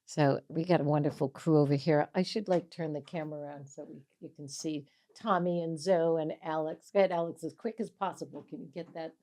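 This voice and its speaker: A woman in her seventies hosting a casual interview, chatty and animated as she tells stories and laughs often. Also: deep voice, brisk, few pauses. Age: 70s. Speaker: host, mental health coach.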